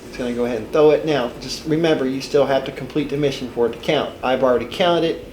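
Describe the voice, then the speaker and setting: A man's voice: mid-range voice, fast, a little mellow, roomy sound, a little hiss or hum, low-quality recording. An older man with a head cold, sounding congested and stuffed up, giving a rambling, folksy gameplay walkthrough as a channel host. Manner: rambling, folksy, self-correcting. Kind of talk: casual gameplay walkthrough commentary.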